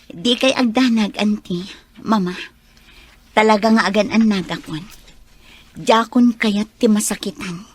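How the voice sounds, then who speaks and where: A young woman playing a soft-spoken teacher who is wary of love in a radio drama. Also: medium pitch, measured pace, pauses often, slightly rough. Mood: guarded.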